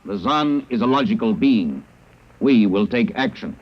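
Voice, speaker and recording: An actor playing a logical alien in a radio drama, speaking in stilted, formal, literal sentences. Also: male voice, lowish voice, lively intonation, a little slow, heavy background noise. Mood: matter-of-fact. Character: logical, literal-minded alien zookeeper, thousands of years old. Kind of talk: 1950s radio drama acting. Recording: dull vintage radio recording.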